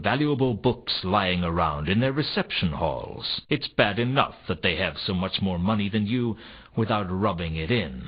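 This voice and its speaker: A hardboiled detective from a noir video game, world-weary and suspicious. His low voice swings widely in pitch while staying dry and sardonic. The audio is slightly dull, like compressed console game sound. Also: slightly rough. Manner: dry, deadpan, wry.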